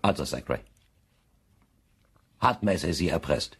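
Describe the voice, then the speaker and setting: Raspy, commanding police chief. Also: male voice, a bass voice, animated, a little slow, fairly bright tone, exaggerated voice. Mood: stern. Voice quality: husky, raspy. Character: stern, commanding police chief questioning murder suspects. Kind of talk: German crime radio play acting.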